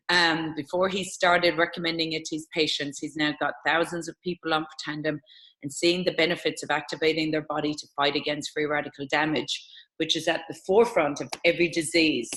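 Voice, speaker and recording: A woman's voice: low voice, a bit bright, low-quality recording. A middle-aged woman with an Irish accent, hosting a conversational interview. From Ireland.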